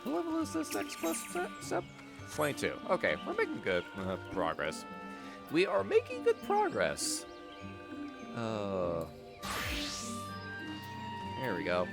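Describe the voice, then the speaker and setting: A man's voice: mid-range voice, huge pitch swings, takes it slowly, gravelly, pauses often, over loud music. A man hosting a casual game stream, rambling and swearing, often repeating himself, his voice slightly strained. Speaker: livestream host.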